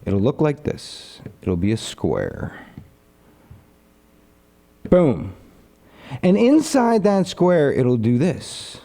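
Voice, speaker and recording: Animated streamer man, lively mellow voice. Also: drawn-out words, pauses often. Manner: animated, rambling pitch of an idea to viewers; reads viewer comments aloud and answers them. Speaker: live streamer. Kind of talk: casual live stream chat.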